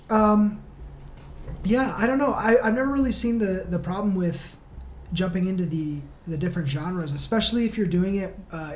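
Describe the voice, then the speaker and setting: A woman's voice: sits low, a little mellow. A woman talking casually and enthusiastically as a guest in a podcast interview. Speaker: band member guest. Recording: roomy, echoey room with noise.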